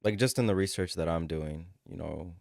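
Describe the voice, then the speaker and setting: A young man from Morocco, a college senior, talking as a relaxed podcast guest with understated humor and frequent "um" pauses. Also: deep voice, vocal fry, no echo. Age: young adult. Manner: relaxed, understated, dry humor, hesitant "um" fillers. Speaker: student guest. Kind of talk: casual podcast interview.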